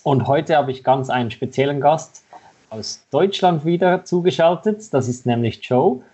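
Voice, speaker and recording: A man's voice: a little high, lively intonation, normal speed, warm tone, husky, highs cut off. A man hosting a podcast, interviewing a guest in a conversational style. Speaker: podcast host.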